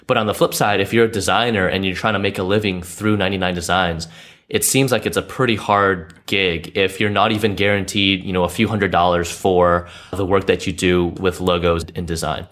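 Man, very low voice, measured explainer, casual chat. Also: fairly even intonation, fairly bright tone.

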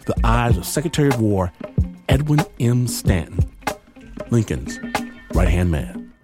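A man hosting a podcast, delivering a scripted narrative introduction with dramatic, deliberate phrasing. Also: mid-range voice, very animated. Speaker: podcast host. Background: music.

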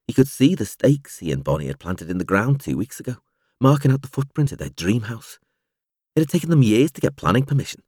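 A man reading literary fiction aloud as an audiobook narrator, with a measured, expressive storytelling delivery. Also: on the low side, dry recording, clean studio sound.

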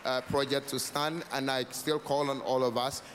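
A man's voice: medium pitch, level tone, moderate pace, fairly bright tone. A man in his twenties with a Ghanaian accent gives an earnest personal speech of thanks, repeating phrases for emphasis. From Northern Ghana. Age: 20s. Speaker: project founder, guest speaker. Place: large event hall. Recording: echoey hall with background noise.

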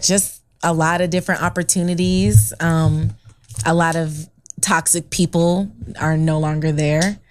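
A woman's voice: low-pitched, steady tone, measured pace, a little hiss or hum. A young adult woman, a guest actress, chatting in a friendly, giggly way with lots of fillers. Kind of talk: casual interview.

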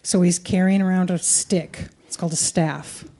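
Mellow woman preaching. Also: fairly low pitch, steady tone, normal speed. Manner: conversational, colloquial. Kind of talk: sermon delivered in short phrases for live interpretation.

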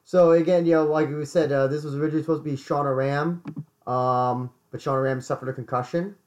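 A man co-hosting a casual podcast chat, hoarse, often stumbling and repeating words. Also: fairly high pitch, fairly even intonation, fast, a little mellow. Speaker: podcast co-host.

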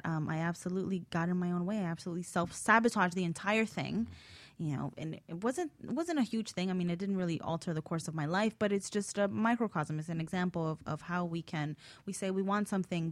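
A woman telling a personal story on a talk show, acting out her own past thoughts in a lively way. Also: fairly low pitch, no room sound. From Miami. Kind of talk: casual storytelling.

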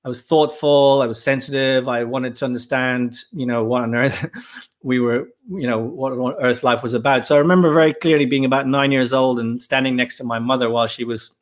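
A man, a podcast guest talking casually and thoughtfully, pausing often. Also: mid-range voice, steady tone. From Ireland. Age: 50s. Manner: thoughtful, halting, with frequent pauses and fillers. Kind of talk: casual interview talk.

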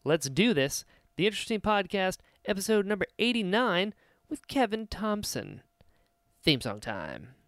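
A man's voice: sits high, very animated, measured pace, a bit bright, no echo, clean studio sound. An American man from Florida hosting a podcast in an enthusiastic, effusive, casual way. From Florida, United States. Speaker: podcast host.

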